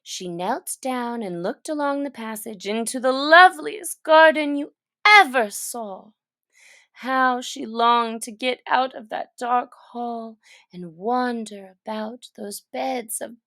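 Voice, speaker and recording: Woman storyteller, unhurried, higher voice, gentle expressive read-aloud. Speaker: children's story reader.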